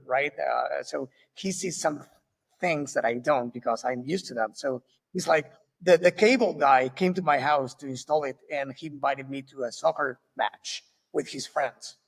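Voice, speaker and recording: A male podcast host from Argentina speaks English with an Argentine Spanish accent, friendly and a little halting. His voice has a gravelly edge. The recording carries a long, hall-like reverb. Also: mid-range voice. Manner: friendly, halting with small restarts. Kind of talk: casual interview.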